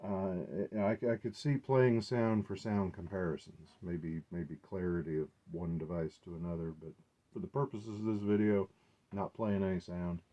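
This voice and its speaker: A man with a low voice rambles through an informal show-and-tell as a hobbyist collector. He speaks in a halting, unscripted way, working out his thoughts as he goes. The recording is dull and muffled. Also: fairly even intonation, dark tone. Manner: halting, unscripted, thinking aloud. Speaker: collector presenting his gear.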